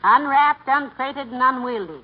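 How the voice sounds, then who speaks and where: An actress playing a patient, sensible wife with a dry, gently teasing manner in a 1940s radio comedy. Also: female voice, high-pitched, measured pace, slight background noise. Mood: wry. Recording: dull 1940s radio recording.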